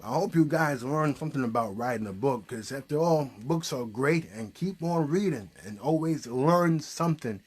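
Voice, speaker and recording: A man's voice: mid-range voice, animated, normal speed, hardly any pauses, a little mellow, breathy edge. A man hosting a puppet show, chatting casually and encouragingly and prompting with questions. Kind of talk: casual, unscripted chat.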